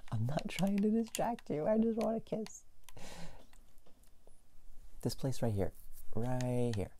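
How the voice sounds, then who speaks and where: A man playing a playful, affectionate, clingy young boyfriend in a soft, intimate, teasing ASMR roleplay, with keyboard clicks behind him. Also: a little high, big pitch swings, slow, exaggerated voice. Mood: cuddly, playful. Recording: faint keyboard and mouse clicking noise. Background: game sounds, keyboard typing and clicking.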